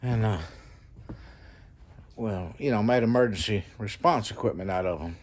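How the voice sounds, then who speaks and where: A man's voice: lowish voice, normal speed. A man with a slightly scratchy throat, rambling folksily in a casual vlog with lots of fillers. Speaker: vlogger. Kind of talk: casual rambling monologue to camera.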